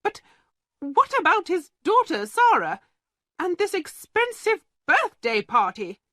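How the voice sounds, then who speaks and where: A woman's voice: high voice, very animated, slow pace, no room sound, clean studio sound, voice pushed up, heavily acted. A woman voicing a haughty, greedy headmistress who speaks shrilly, indignant and cold. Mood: shocked.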